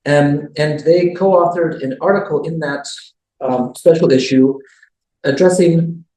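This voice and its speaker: Guy introducing the lecture, kinda high voice, mellow, room echo. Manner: measured, with filler ums. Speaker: event host introducing the speaker. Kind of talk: formal introduction.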